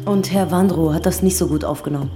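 Quick talking lady cop, lowish voice, dry and shrewd, music in the back. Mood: dry, probing. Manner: matter-of-fact, dry. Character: brisk, dry, shrewd female police detective. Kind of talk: naturalistic radio crime drama.

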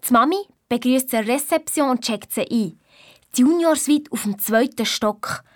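A woman's voice: on the high side, normal speed, no echo, studio quality, faint music behind, close to an everyday voice. A woman playing a confident, eager young hotel owners' daughter in a children's audio drama, telling her story with a Swiss German accent. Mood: intrigued, conspiratorial.

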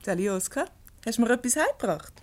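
A quick-witted, unruffled Swiss German wife in a radio comedy. Her voice is on the high side and swings freely up and down, full of teasing irony. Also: big pitch swings, background noise. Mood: playful. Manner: teasing, ironic.

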